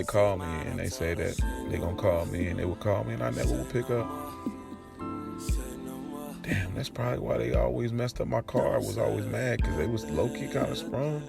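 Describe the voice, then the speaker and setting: A man playing a streetwise young man just out of jail, telling his story conversationally in casual slang, with a gravelly voice, in an audio drama. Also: fairly low pitch, distant mic. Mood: amused, boastful. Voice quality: gravelly, creaky.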